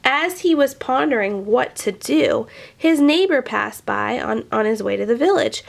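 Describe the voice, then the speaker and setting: Woman, storyteller, slightly high voice, creaky edge, expressive children's read-aloud. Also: unhurried. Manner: animated asides to young listeners.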